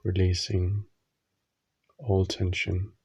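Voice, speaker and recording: A man leading a guided meditation, giving gentle instructions in short phrases with long pauses. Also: low-pitched, level tone, gravelly, husky, dry recording.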